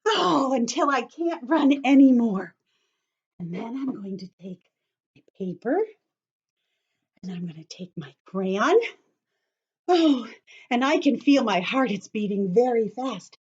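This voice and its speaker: Gentle woman coaching kids through a calming drawing. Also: on the high side, slow, pauses often, breathy edge. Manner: gentle, encouraging, talks directly to kids. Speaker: teacher reading to children. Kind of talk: guided calming activity for young children. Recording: dull lo-fi home video sound.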